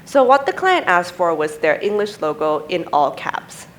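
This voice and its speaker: Young woman, native Thai speaker, conference presenter; lowish voice, calm and explanatory, podium mic with faint room hum. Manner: measured, explanatory, steady delivery. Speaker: type designer giving a conference talk. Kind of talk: presenting slides at a design symposium. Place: conference stage, indoors.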